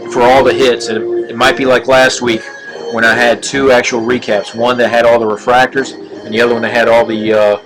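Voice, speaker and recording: A middle-aged man hosting a live card-opening stream, talking in a flat monotone with frequent fillers and restarts. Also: medium pitch, rough recording. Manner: monotone, halting with many fillers. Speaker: card break host. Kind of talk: casual live-stream commentary. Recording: live stream with music behind. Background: music.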